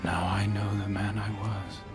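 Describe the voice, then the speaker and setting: A man playing a wounded, earnest young man haunted by his former self, halting and intense, in a game cutscene. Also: on the low side, little pitch movement, music in the background, close to an everyday voice. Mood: anguished, remorseful. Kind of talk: dramatic game cutscene acting.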